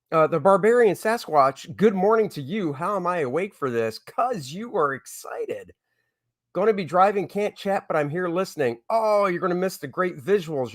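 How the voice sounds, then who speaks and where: A man's voice: fairly high pitch, dry recording, studio quality. A man hosting an art livestream, chatting casually to his viewers in an easygoing, rambling way. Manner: easygoing, rambling, reads and answers chat. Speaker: comic artist hosting a livestream.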